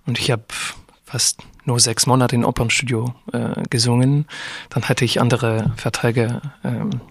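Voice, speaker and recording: A man's voice: mid-range voice, little pitch movement, a little slow, creaky, breathy edge, dry recording. A young man from France with a French accent, a tenor talking casually in German as an interview guest and searching for words. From France, raised in Geneva. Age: young adult.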